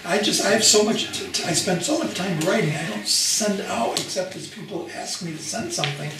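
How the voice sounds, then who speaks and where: A man's voice: high-pitched, a little fast, background noise. An older man whose first language is Polish, chatting enthusiastically with guests at a gathering in a living room. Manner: chatty, enthusiastic, asks many questions. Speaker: guest author. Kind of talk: casual chat. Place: living room of a large house, indoors. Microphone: far camera. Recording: echoey room from far off.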